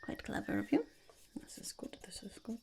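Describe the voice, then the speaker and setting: A woman voicing a quirky, scheming goblin interviewer in a whispered ASMR roleplay, halting and muttering, often repeating phrases. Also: darkish tone, close to an everyday voice. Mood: prying, curious. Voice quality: breathy whisper with heavy creak. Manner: halting, muttering, repeats phrases, speaks of self in third person.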